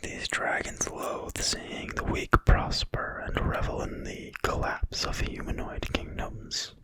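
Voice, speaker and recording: A person reading in a quiet, breathy whisper, soft and deliberate, as in an ASMR reading of a fantasy book. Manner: slow, soft, unhurried, deliberate. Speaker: ASMR video creator and reader.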